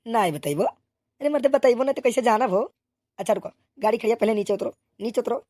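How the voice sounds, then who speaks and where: A woman's voice: on the high side, fast talker, dark tone, no echo, natural voice. Woman voicing a scolding village cartoon policeman, dry recording. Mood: bossy. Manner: scolding, repetitive commands. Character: exasperated, bossy male village policeman at a checkpoint. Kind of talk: comic cartoon dialogue.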